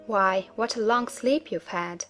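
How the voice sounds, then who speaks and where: A kind older sister speaks softly and soothingly, as a male narrator puts her on, in a raised, gentle voice. Soft music plays underneath. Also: high-pitched, a little slow, pitched above the performer's own voice. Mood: tender.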